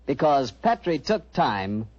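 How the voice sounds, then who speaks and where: A man's voice: mid-range voice, sing-song, slow pace, darkish tone. A man with an American accent speaking as a chummy, folksy radio announcer in 1940s advertising style. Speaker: radio announcer and sponsor pitchman. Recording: muffled old 1940s radio recording.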